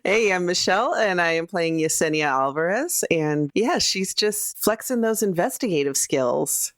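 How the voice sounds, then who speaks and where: A woman's voice: fairly low pitch, expressive, moderate pace, no echo, studio quality. A woman chatting casually as one of the players on a tabletop roleplay show. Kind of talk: casual chat.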